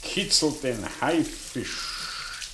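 An actor playing an aging, eloquent, cynical professor, vain and intense, in a theatrical, sardonic 1960s German radio drama manner. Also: male voice, mid-range voice, lively intonation, drawn-out words, noisy background.

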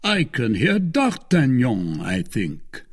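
A man voicing a calm, jeering, tipsy musketeer nobleman in an adventure audiobook, with deliberate, drawn-out words. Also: fairly low pitch, creaky, slightly rough, full character voice. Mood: sardonic. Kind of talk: dramatised classic adventure audiobook.

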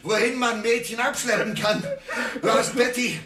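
A taunting, sneering police interrogator. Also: male voice, very high voice, normal speed, echoey room, distant mic. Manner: taunting, mocking, jeering. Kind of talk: radio play acting.

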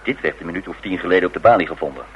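A man playing a polite hotel detective in a radio drama, with a very low voice and measured delivery. The old recording is muffled with slight noise. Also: close to an everyday voice. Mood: polite, probing. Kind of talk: Dutch radio play acting.

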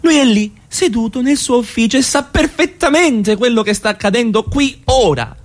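Brooding, insistent lover with lively pitch, man acting. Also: normal speed. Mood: agitated, accusing. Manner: insistent, repeats questions and demands. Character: married male lover, brooding, needling, turning accusatory. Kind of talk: radio theatre acting.

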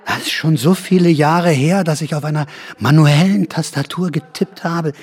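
An actor playing a grumbling, self-excusing writer in his seventies in a German radio drama, with a husky, hesitant delivery. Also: on the high side, moderate pace, lightly acted. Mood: defensive.

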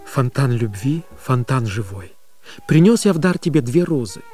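An actor performs a lovesick Russian poet in a radio drama. His voice is dark and mellow at a medium pitch, declaiming verse lyrically. Faint music plays behind him. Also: very animated, normal speed, natural voice. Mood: yearning, lyrical. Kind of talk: dramatic poetry recitation in Russian.

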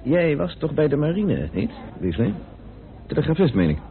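Composed parliamentary sleuth, tinny old radio sound. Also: male voice, on the high side, wildly swooping pitch, moderate pace. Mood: calm, revealing. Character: composed, well-informed male British parliamentarian turned amateur sleuth. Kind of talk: Dutch radio play acting. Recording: narrow, phone-like old radio recording.

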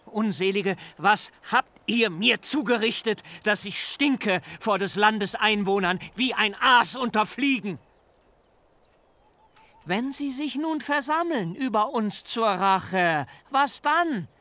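An actor playing a wrathful, grieving old patriarch who curses with anguished outcries in an audiobook drama. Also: male voice, mid-range voice, lively intonation, drawn-out words, vocal fry, no echo, full character voice. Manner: furious cursing, rising to anguished outcries.

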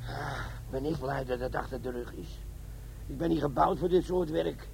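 An actor playing a self-important, grumbling bomb disposal sergeant with a crooked streak in a comic radio play. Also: male voice, medium pitch, moderate pace, vocal fry, noisy background, lightly acted. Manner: blustering, self-important, complaining. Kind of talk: comic radio drama acting.

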